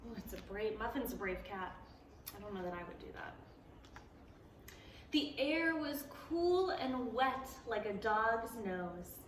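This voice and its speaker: A woman reading a picture book aloud to preschoolers at a library storytime, animated and playful, stopping to ask the children questions. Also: on the high side, a little slow, a little hiss or hum. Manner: playful, gasps and exclaims at the story. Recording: echoey room, voice a bit far from the mic.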